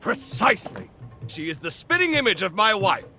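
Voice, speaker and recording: A pompous, affable gentleman from a video game, eccentric and grand. His highish voice swoops dramatically through florid phrases. Music plays clearly behind him. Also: wildly swooping pitch, moderate pace, exaggerated voice. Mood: wistful, fond. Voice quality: high-pitched, swooping delivery. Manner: pompous, florid, exclamatory and theatrical. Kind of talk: theatrical video game character acting. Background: clear background music.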